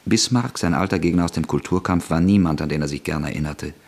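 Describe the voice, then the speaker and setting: A man narrating a radio drama in a husky voice, in a steady scripted style. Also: low-pitched, fast talker.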